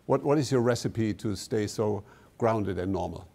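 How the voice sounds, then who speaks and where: Gravelly man asking questions. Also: fairly low pitch, moderate pace, darkish tone. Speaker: interviewer. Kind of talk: stage interview. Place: large indoor stage.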